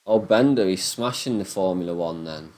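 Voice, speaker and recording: A man with a Nottingham accent chatting live with viewers, swearing and ranting in a casual, animated way. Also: sits low, normal speed. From Nottingham, England. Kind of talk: casual live-stream chat.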